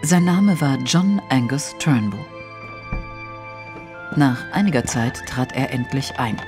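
Measured male storyteller over music. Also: medium pitch, animated. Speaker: narrator. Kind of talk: scripted literary narration. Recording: music under the voice.

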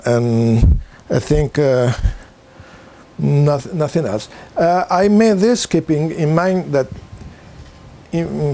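Slow, halting older man, Italian accent. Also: medium pitch, warm tone. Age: 60s. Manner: halting, hesitant non-native English delivery. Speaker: presenter demonstrating homemade audio equipment. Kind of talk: unscripted equipment demonstration. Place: small cluttered home office, indoors. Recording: faint background noise, room ambience.

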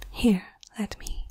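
A woman playing a caring young female veterinarian in a soft, hushed ASMR roleplay, speaking gently and a little hesitantly. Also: no echo, clean studio sound. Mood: gentle, focused.

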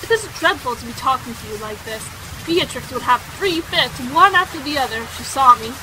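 High-voiced, chatty young society woman, played by an actress. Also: brisk, noisy background. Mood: confiding. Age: young adult. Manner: breathlessly talkative, emphatic, dramatic. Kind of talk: audio drama acting, period dialogue. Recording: steady rain sound effects behind.

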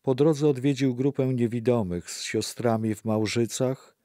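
A man's voice: medium pitch, moderate pace, warm tone, no echo, studio quality. A man reading scripted narration for a historical radio play.

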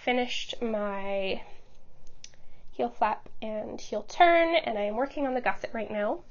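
A woman in her twenties hosting a knitting podcast, chatting casually to camera from a small home studio, a little stuffed up from a cold, talking quickly and stumbling over her words with frequent laughs. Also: on the high side, lively intonation, highs cut off. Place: small home studio room under studio lights.

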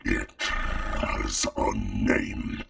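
A man playing a stern, menacing male dragon father in an audio drama, with a gravelly growl and cold, contemptuous delivery. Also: a bit bright, no echo, studio quality, recorded from a distance. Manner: gratingly slow, cold, contemptuous.

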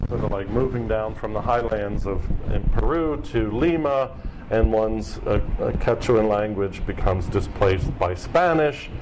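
A middle-aged man giving an academic lecture in a lecture hall, measured and explanatory as he steadily lists figures. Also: on the low side, expressive, noisy background.

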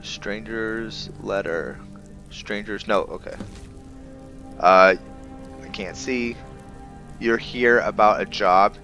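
A man giving roleplay game commentary, musing and pausing often as he talks. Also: lowish voice, steady tone, music in the background. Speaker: gameplay video host. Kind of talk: roleplay game commentary with some reading aloud.

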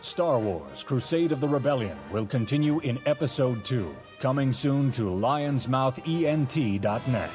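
A man's voice: medium pitch, expressive, measured pace, few pauses, darkish tone. A man reading scripted narration and credits as the announcer of an audio drama, in a dramatic style. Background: orchestral film music.

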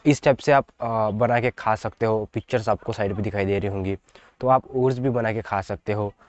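A man's voice: medium pitch, darkish tone, smooth, no echo, lo-fi sound. Chatty young man giving fitness tips. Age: 20s. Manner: chatty, repetitive, addresses viewers as guys. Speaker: fitness vlogger. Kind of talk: casual direct-to-camera advice. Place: outdoors in front of trees. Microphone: lapel mic.

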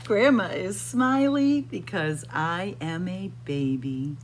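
A middle-aged woman reading a picture book aloud to young children in a deliberate, expressive, sing-song way, recorded indoors. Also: fairly low pitch, a little hiss or hum. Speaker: children's library storyteller. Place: indoors in a library room with bookshelves.

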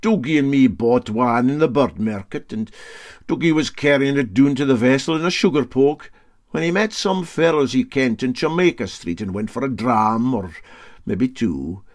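A man's voice: medium pitch, animated, moderate pace, close to an everyday voice. A man voicing a wily, unruffled Scottish sea captain in a storybook, with a drawling, coolly sly manner.

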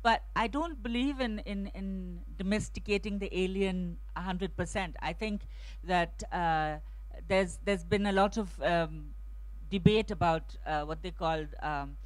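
A woman's voice: fairly low pitch, a little slow, no room sound, low-quality recording. Hesitant woman translator on a festival panel. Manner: thoughtful, hesitant with many restarts and fillers. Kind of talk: panel discussion answers. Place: outdoor festival stage under a tent. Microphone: handheld mic.

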